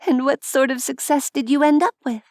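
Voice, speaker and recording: A woman voicing a curious, sympathetic young woman in an audiobook, asking lively questions. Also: sits high, fairly quick, no echo, clean studio sound, voice pushed up, natural voice. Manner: bright, inquisitive, lively questions. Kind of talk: audiobook character voice.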